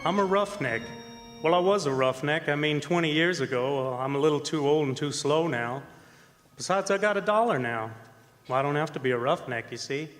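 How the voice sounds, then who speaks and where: A man playing a folksy, rambling, middle-aged former oil-field roughneck telling his story in a radio play. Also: fairly high pitch, brisk, a bit bright, natural voice. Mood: folksy, chatty. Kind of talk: radio drama monologue to a guest. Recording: hall reverb, music behind.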